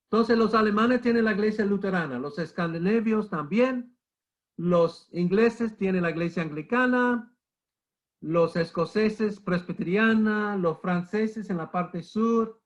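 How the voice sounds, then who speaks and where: A middle-aged man with the flu giving an emphatic church history lecture, repeating key points and calling listeners brother. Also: high-pitched. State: has the flu, coughing. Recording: muffled lo-fi recording.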